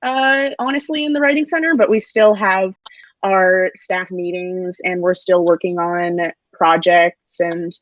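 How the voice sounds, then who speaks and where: A woman's voice: lowish voice, steady tone, measured pace, dry recording. A woman in her twenties, a student actor, chatting casually and hesitantly as she thinks aloud. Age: 20s. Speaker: actor, graduating theater student. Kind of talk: casual chat. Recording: dry, slightly dull online audio.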